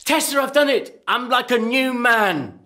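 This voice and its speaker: Man playing an excitable, hesitant husband. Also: extremely high pitch, measured pace, full character voice. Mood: hopeful. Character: nervous recovering radio addict, eager, meek husband. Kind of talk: radio play acting.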